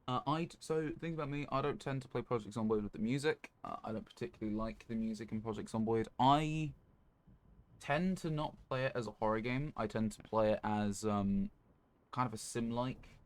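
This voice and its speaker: A man chatting casually on a gaming livestream in a low-key, rambling, hesitant way. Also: fairly quick. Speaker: video game streamer.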